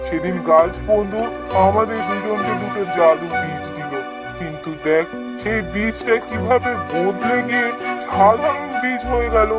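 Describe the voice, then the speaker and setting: A man's voice: high voice, a little slow, loud music behind the voice. A man playing a wily village woodcutter in a cartoon fairy tale, feigning innocence in a theatrical, pleading way. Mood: feigned worry.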